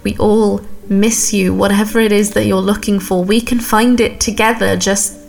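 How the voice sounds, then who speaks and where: An actress playing an earnest young woman in an audio drama, speaking haltingly and tenderly. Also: mid-range voice, little pitch movement, gravelly, soft background music, natural voice. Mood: pleading. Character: earnest young woman pleading for missing girlfriend. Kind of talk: naturalistic audio drama monologue.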